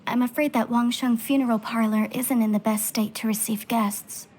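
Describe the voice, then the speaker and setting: A woman's voice: on the high side, steady tone, normal speed, darkish tone, a little hiss or hum, lightly acted. An actress playing a polite young woman receptionist in a video game, speaking formally. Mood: polite, apologetic.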